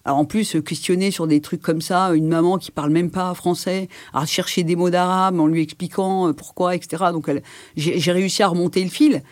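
A woman's voice: lowish voice, fairly even intonation, a little fast, no room sound. Hesitant woman in her sixties, dry close mic. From France. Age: 60s. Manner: hesitant, reflective, many fillers and restarts. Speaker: interview guest. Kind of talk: personal life-story interview.

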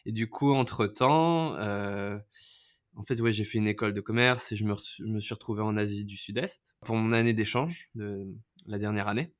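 A man's voice: on the low side, normal speed. A man in his thirties from France, a podcast guest telling his life story in a casual, hesitant way with many fillers and restarts. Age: 30s.

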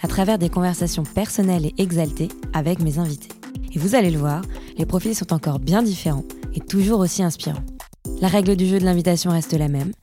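A woman hosts a podcast in a lowish, mellow voice. She reads a polished introduction and then asks her guest friendly questions. Faint music plays behind her. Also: brisk. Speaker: podcast host. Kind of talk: scripted intro, then interview chat.

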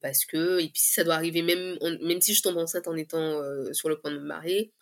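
A woman in her thirties giving a candid personal testimony in conversation, often pausing mid-phrase as she searches for words. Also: sits low, flat delivery, vocal fry. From Guadeloupe. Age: 30s.

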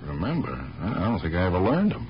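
An actor playing an exasperated police detective and devoted nephew, blunt, wry and pleading, in the style of 1970s radio drama. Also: male voice, low voice, big pitch swings, fast talker. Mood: exasperated, urgent. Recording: dull, noisy 1970s radio broadcast recording.

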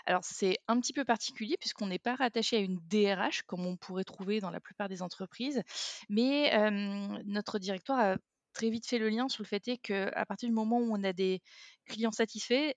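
A woman in her thirties from the south of France talks through her job as a podcast guest. She chats loosely with many fillers and restarts, at a middle pitch. The sound is dry and close. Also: moderate pace, dry recording. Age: 30s. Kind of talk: casual interview talk.

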